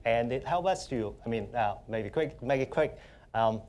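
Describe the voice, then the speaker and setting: A young man with a Hong Kong accent talks on a panel in a conference hall. He speaks at a middling pitch, halting often and restarting his sentences. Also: animated, darkish tone. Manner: halting, many fillers and restarts. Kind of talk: panel discussion.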